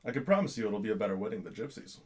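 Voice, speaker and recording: A man's voice: lowish voice, very animated, very fast, slight background noise. Reassuring, teasing werewolf prince played by a man. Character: reassuring, teasing werewolf prince comforting his future wife. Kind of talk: intimate ASMR roleplay dialogue.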